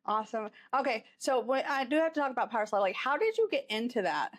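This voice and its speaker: Woman, podcast host, slightly higher pitch, casual interview chat, conversational questions. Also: big pitch swings, quick pace.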